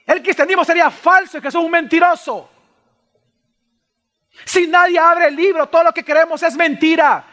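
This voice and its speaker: A man preaching a sermon as a pastor, impassioned and dramatic, swinging between loud cries and hushed lines, with rhetorical questions and repeated phrases. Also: very high voice, fairly even intonation, moderate pace, pauses often. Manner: rhetorical questions and repetition for emphasis. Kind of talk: sermon, expository Bible preaching.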